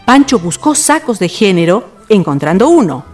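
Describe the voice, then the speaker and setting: Lively woman narrator. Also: mid-range voice, moderate pace, husky, soft background music. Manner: theatrical storytelling. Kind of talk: scripted radio play narration.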